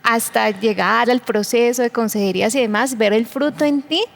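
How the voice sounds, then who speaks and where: A woman's voice: fairly high pitch, moderate pace, gravelly. A woman from Colombia with a Colombian Spanish accent, hosting a church conversation program, affirming her guest and often restarting her words. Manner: affirming, stumbles and repeats words. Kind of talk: faith conversation interview.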